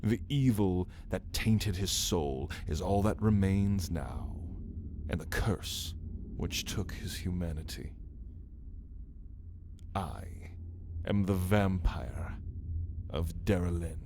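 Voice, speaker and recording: A man playing a deep, slow, menacing vampire. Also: expressive, background noise, heavily acted. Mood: dark, threatening. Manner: slow, theatrical, archaic wording, taunting. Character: brooding, menacing caged male vampire, once a count. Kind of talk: ASMR roleplay, dramatic monologue to listener.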